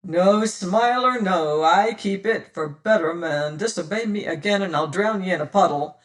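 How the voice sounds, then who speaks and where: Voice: medium pitch, far from the mic, lightly acted, rough recording. A reader doing a mocking pirate captain. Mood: menacing. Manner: taunting, commanding, drawn-out menace. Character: menacing male pirate captain, cold and mocking. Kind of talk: audiobook character voice.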